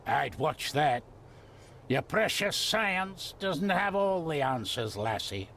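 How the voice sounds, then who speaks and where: An actor playing a gruff, old-fashioned, superstitious older Scottish gardener in a video game, blunt and guarded, speaking in dialect. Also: male voice, on the high side, big pitch swings, takes it slowly, sharp tone, slight background noise, exaggerated voice. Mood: gruff, defiant. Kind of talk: dramatic game cutscene dialogue.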